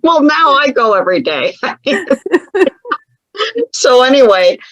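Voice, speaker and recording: A woman's voice: high voice, sing-song, slow pace, a bit bright, no echo, muffled recording. A woman telling a personal story as an interview guest, chatty and wry, laughing often at her own remarks. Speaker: guest author.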